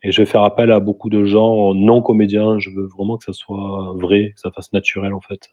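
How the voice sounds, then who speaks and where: Low-voiced male guest, dull sound. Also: level tone, normal speed, darkish tone. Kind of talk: radio chat.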